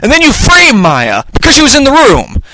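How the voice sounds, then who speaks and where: A man voicing an earnest, excitable male defense lawyer in a comic courtroom story, emphatic and declamatory. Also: high-pitched, normal speed, a little hiss or hum, pitched above the performer's own voice, heavily acted, rough recording. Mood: defiant, accusing.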